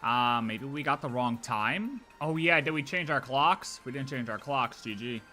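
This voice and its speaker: A young man reacting live as a game streamer, with a bright, punchy voice. His intonation jumps around and he talks in a slangy, jokey, excitable way over faint music. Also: medium pitch, normal speed. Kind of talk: casual live reaction commentary.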